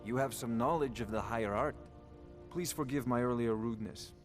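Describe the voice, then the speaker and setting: An actor voicing a condescending, pedantic court wizard in a fantasy video game, with theatrical phrasing. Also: male voice, medium pitch, a little fast, faint music behind, lightly acted. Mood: smug, lecturing. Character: haughty, scholarly male court wizard obsessed with dragon research. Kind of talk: video game character dialogue.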